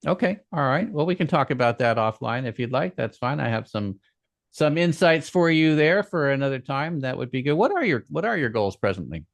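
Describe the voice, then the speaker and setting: A man's voice: mid-range voice, sing-song, a little fast, vocal fry, dry recording. A man hosting a podcast interview, asking questions in a conversational, encouraging way. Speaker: podcast host.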